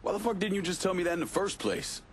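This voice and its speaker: An actor playing a hard, resolute young crime boss, terse and firm, in a video game cutscene. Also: male voice, sits high, animated, quick pace, a little hiss or hum. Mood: demanding. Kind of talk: dramatic game cutscene acting. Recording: loud music behind the voice.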